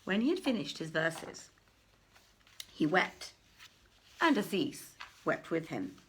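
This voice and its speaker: A woman reading an old literary tale aloud as narrator, in a measured, expressive, declaiming manner. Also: deep voice, a little hiss or hum. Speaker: narrator, reader. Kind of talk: dramatic literary storytelling in archaic English.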